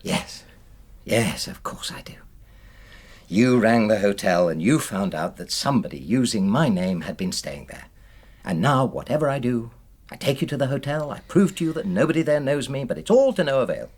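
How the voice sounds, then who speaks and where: Aggrieved middle-aged British husband, rough-edged voice, very animated pitch, indignant, self-justifying, radio drama. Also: male voice, moderate pace. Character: aggrieved, defensive middle-aged husband, former rugby star.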